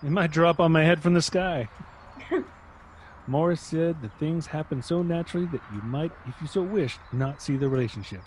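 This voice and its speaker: A man playing a stubborn, gruff older husband who blusters and dismisses, in a radio drama. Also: a little high, moderate pace, a little hiss or hum, lightly acted. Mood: uneasy.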